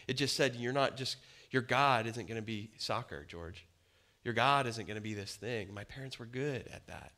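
A man in his thirties preaching a sermon in a casual, conversational and animated way, on stage in a dim church hall before a crowd. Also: medium pitch. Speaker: pastor.

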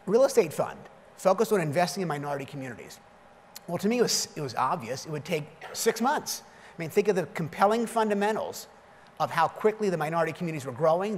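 A man in his fifties giving a conference keynote from a lectern, telling punchy, self-deprecating stories. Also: a little high. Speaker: keynote speaker. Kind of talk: conference keynote with jokes and anecdotes. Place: large conference hall stage at a lectern. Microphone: lectern microphone. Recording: long hall reverb, faint background noise.

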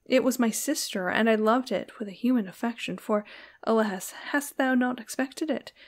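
A lonely, tender young woman from a gothic storybook , played by a woman reading aloud. Her voice is high and plaintive, pleading in archaic, anguished phrases. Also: moderate pace, natural voice. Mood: tender sorrow. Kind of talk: dramatic literary audiobook reading.

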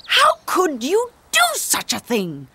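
A woman's voice: sits high, huge pitch swings, slow pace, full character voice. An actress playing a proper, indignant young lady in a video game, with a prim, scolding manner. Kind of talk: video game cutscene acting. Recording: loud music behind the voice.

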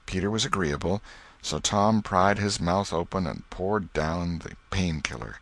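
A man reading an audiobook as expressive storybook narration. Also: low-pitched, unhurried, dry recording. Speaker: audiobook reader.

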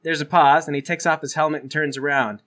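A man's voice: on the high side, fairly even intonation, fast talker, fairly bright tone. A man working as game master, improvising narration for a tabletop game.

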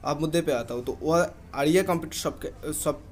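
A man's voice: fairly high pitch, brisk. A young man vlogging, talking casually to his viewers and often checking in with them. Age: young adult. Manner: chatty, keeps asking "ठीक है?". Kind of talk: casual vlog talk to camera. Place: indoors, plain room, then walking through a mall.

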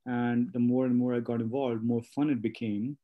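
A man's voice: medium pitch, flat delivery, moderate pace, narrow, tinny audio. A man in his late thirties from India, talking through his career in a casual interview, rambling with many fillers and restarts. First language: not English. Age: late 30s. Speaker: guest, spa business owner.

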